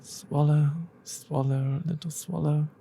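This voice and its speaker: Man playing noble storybook prince statue, sorrowful, compassionate, gently pleading, mellow creaky hush. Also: a little high, little pitch movement, slow, slight background noise. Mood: gently commanding.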